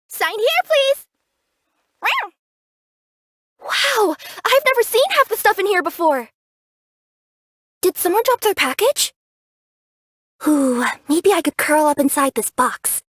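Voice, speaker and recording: Game role: young cat yokai delivery girl, high-pitched, bubbly, curious and earnest, very dry close studio sound. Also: fairly bright tone, no echo, heavily acted. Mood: excited, perky. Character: cheerful young female cat yokai package courier. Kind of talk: English video game character voice acting.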